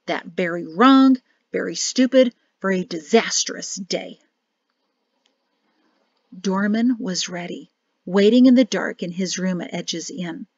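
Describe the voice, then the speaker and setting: A woman with a rough, crackling voice, nearly losing it, reading a novel aloud as narrator. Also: lowish voice, slow pace, pauses often, dry recording, lo-fi sound. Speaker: audiobook narrator.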